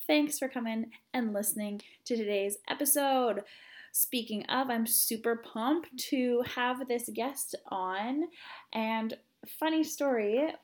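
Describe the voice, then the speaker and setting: A woman's voice: on the high side, slow. Bubbly, giggly woman podcast host. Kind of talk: casual podcast interview.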